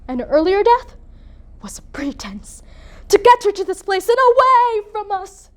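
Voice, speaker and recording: A woman's voice: extremely high pitch, very animated, measured pace, pitched above the performer's own voice, full character voice. A woman performing a dramatic monologue as a grieving, bitter, proud young noblewoman mother, with an emotional theatrical delivery. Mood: grieving, stunned. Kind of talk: dramatic monologue for speech competition.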